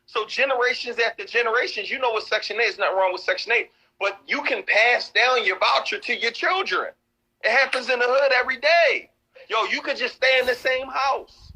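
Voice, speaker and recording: Husky, urgent motivational speaker man. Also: extremely high pitch, brisk, pushed and bright, poor audio. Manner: urgent, exhorting, addresses viewers directly. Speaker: co-host of a live stream. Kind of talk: motivational live-stream talk.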